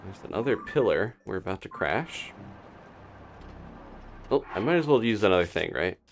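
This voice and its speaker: A man's voice: lowish voice, very animated, normal speed, gravelly, a little hiss or hum. A man doing casual live commentary on a video game as he plays, thinking aloud. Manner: thinking aloud, talking to himself and his game character.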